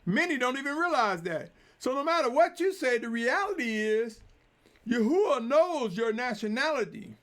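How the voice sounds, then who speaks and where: A man preaching a sermon in a deliberate, emphatic way, drawing out key phrases. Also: very high voice, big pitch swings, fairly bright tone.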